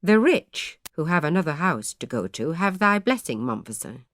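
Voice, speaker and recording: A woman's voice: low voice, sing-song, normal speed, dry recording, clean studio sound. A woman voicing a grave, severe old male parson who speaks in old-fashioned words.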